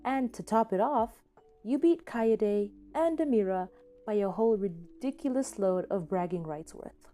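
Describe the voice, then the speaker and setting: A woman reads scripted fiction as a podfic narrator. Her voice is mellow and relaxed, sitting at a middle pitch. Faint music plays behind her. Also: unhurried. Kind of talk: scripted story narration in second person. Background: soft background music.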